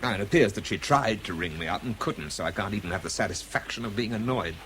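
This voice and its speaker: A man's voice: on the low side, expressive, fast talker, fairly bright tone, a little hiss or hum. An English actor playing a world-weary, bitter man who struggles with drink, narrating and speaking tersely in a radio drama. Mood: bitter, sardonic. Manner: dry, terse, understated.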